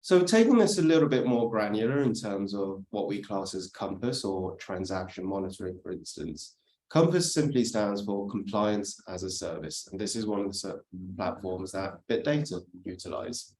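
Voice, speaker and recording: British man, conference speaker, explanatory delivery, slightly low voice, roomy sound. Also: animated, normal speed, darkish tone. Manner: explanatory, frequent "let's say" and "for instance". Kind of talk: presentation talk.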